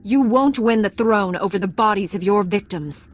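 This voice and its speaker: An actress playing a calm, honorable female knight in a video game, composed and formal. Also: mid-range voice, moderate pace, close to an everyday voice. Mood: firm.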